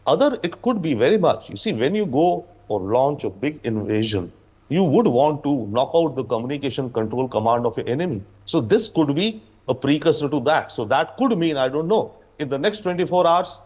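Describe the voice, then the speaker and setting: A man giving news debate commentary as an analyst, speaking emphatically and haltingly with frequent asides. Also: mid-range voice, lively intonation, a little mellow. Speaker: panel analyst.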